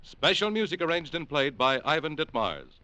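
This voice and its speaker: A man announcing a 1940s radio program, reading sponsor messages and credits in a crisp, emphatic, formal manner. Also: a little high, very animated, normal speed, bright, forceful tone, no room sound.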